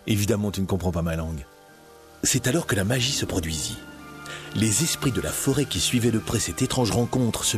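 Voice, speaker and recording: A man narrating a storybook tale in an expressive, scripted reading. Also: lowish voice.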